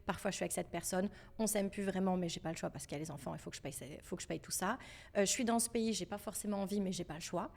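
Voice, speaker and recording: A young woman from France with a French accent, talking as a podcast guest in a lively, emphatic, convinced way about money. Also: lowish voice, rapid-fire, gravelly. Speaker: podcast guest, financial educator. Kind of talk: casual podcast conversation.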